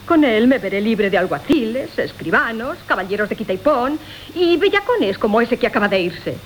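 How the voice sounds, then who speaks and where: High, animated, scheming Bárbara. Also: female voice, moderate pace, low-quality recording. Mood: conspiratorial. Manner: theatrical, wheedling. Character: scheming, coquettish woman Bárbara, duping her lovers. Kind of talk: Spanish classical comic radio theatre acting. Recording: hissy 1980 radio broadcast.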